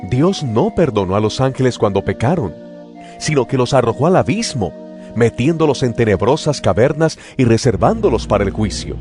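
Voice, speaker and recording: A man giving a dramatized Bible reading in a slow, solemn and expressive way. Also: medium pitch. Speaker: scripture reader. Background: music.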